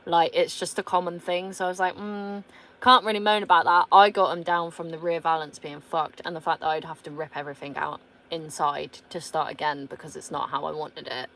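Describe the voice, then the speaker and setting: A woman's voice: deep voice, level tone, brisk, fairly bright tone. A woman in her thirties with a British accent, chatting casually on her vlog and reading out viewer comments, blunt, swearing freely and laughing often. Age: 30s. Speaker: vlogger.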